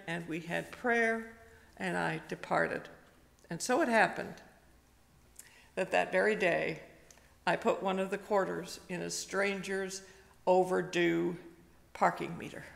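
An older woman, a retired pastor, preaches slowly and gently, telling a personal story with pauses, at a pulpit microphone in a church. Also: on the low side, gravelly. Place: church sanctuary, indoors. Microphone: stand microphone at a pulpit. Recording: long reverb of a church hall.

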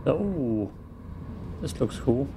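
A man's voice: mid-range voice, expressive, very slow, gravelly, noisy background. A man with an Austrian accent, a native German speaker, giving casual muttering commentary as he plays a game. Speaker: gaming streamer. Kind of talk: casual let's play commentary.

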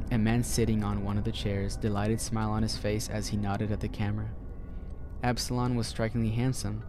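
A man narrating a horror story in a calm, measured storytelling voice. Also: on the low side, slight background noise.

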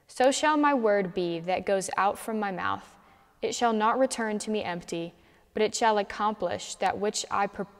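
Young woman reading scripture in a reverberant church. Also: medium pitch. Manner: measured, careful reading. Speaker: scripture reader. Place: church sanctuary at a lectern. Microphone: camera at a distance.

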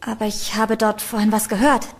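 A woman's voice: fairly high pitch, flat delivery, fairly quick, lightly acted. A woman playing a frightened, earnest young female student in an audio horror drama, speaking in an anxious, pleading way. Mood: unsure.

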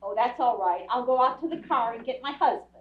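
A woman's voice: a little high, expressive, fairly quick, close to an everyday voice. A woman playing a chatty, romantic, scolding wife in an old-time radio comedy on stage. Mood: cheerful, chatty. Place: theatre stage, before an audience. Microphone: distant camera. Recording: muffled, echoey theatre stage from far off.

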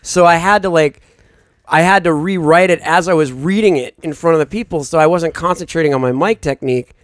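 A man's voice: fairly high pitch, fairly quick, no echo, studio quality. A young man co-hosting a comedy podcast, telling a rambling self-deprecating story. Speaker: podcast co-host.

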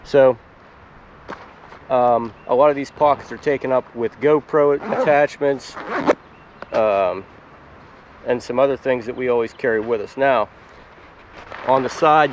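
A man's voice: mid-range voice, unhurried, pauses often, slight background noise, rough recording. A man in his forties, hosting a foraging channel, chatting casually to the camera about his gear while kneeling in the woods. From Pennsylvania, US. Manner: relaxed, chatty, explains plainly with fillers. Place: woods outdoors in spring.

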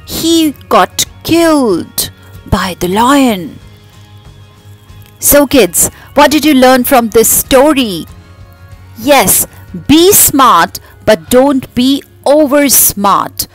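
A woman narrating a children's story expressively, with exaggerated delivery, speaking straight to her young listeners. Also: sits high. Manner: exaggerated, addresses children directly.

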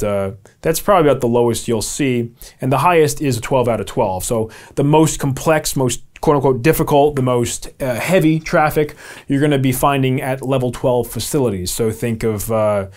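A man's voice: medium pitch, darkish tone. Conversational American man explaining to camera. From Albany, New York, USA. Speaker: video presenter. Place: small home office, indoors.

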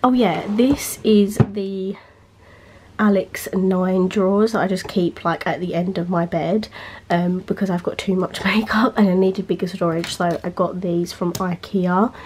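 A woman's voice: lowish voice, fairly even intonation, unhurried, noisy background. A young woman, a beauty vlogger, chatting casually with lots of fillers while filming handheld in her bedroom, sounding nasal after being ill. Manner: rambling, filler-heavy, chatty. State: nasal, recovering from illness. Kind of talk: casual chat. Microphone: handheld camera, freehand.

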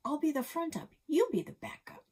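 A woman voicing a bold, excitable girl treasure hunter who talks in a raised, eager voice. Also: sits high, brisk, voice pushed up.

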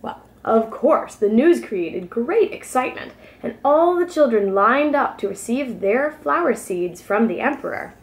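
A young woman reads a children's story aloud. Her voice is a little high and her pace is slow, with careful, expressive phrasing meant for small children. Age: young adult. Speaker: preschool teacher reading aloud. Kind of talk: children's picture book read-aloud.

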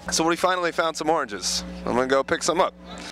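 A man's voice: fairly high pitch, lively intonation, quick pace, music in the background, low-quality recording. A man in his thirties hosting a mock news report with a handheld mic, outdoors on a city street. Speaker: host reporter. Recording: loud street noise behind.

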